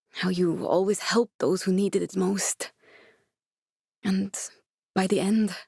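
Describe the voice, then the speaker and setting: A woman's voice: mid-range voice, darkish tone, no room sound, clean studio sound, close to an everyday voice. An actress voicing a determined, caring young woman scientist in a video game, speaking in an earnest, direct, instructive way with naturalistic dramatic delivery. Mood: tender, sad.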